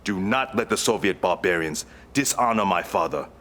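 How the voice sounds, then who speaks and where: A stern, proud prince. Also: male voice, low voice, animated, moderate pace, fairly bright tone. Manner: formal, contemptuous briefing. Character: proud young male crown prince, stern and vengeful. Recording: game cutscene audio over music.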